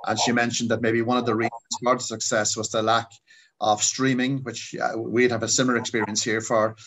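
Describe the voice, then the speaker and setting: A man's voice: medium pitch, little pitch movement, fairly bright tone, low-quality recording. A man, a school principal chairing a panel on a webinar. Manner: fast, hands the floor to speakers. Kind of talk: moderating a webinar.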